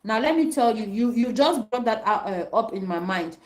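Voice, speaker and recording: A young adult woman hosting an opinion commentary, talking emphatically to viewers, repeating her points and filling pauses with um and uh. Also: fairly high pitch, animated, darkish tone. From African country outside Nigeria and South Africa. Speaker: commentary channel host.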